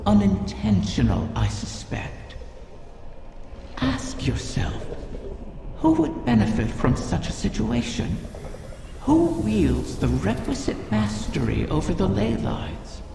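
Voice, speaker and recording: An ancient, scheming dragon whose gravelly voice drifts slowly through soaring, swooping questions. The sound rings out in a long reverberant hall. Steady noise hums behind him. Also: male voice, on the high side, heavily acted. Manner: slow, insinuating, lilting questions. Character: ancient wish-dragon, male, cunning and persuasive.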